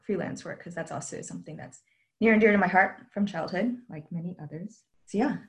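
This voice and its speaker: Gravelly woman in her thirties, modest panel guest on a call. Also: lowish voice, a little fast. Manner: modest, friendly, with fillers. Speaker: illustrator guest.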